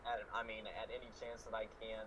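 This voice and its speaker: A man's voice: fairly low pitch, monotone, a little fast, noisy background. A man hosting a podcast discussion, talking earnestly with many fillers. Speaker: podcast host. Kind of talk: casual discussion.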